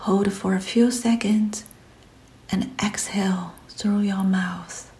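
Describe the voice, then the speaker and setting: A woman leading a scripted guided meditation in a soft, breathy, often near-whispered voice, gentle and soothing, with pauses between short phrases. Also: mid-range voice, steady tone.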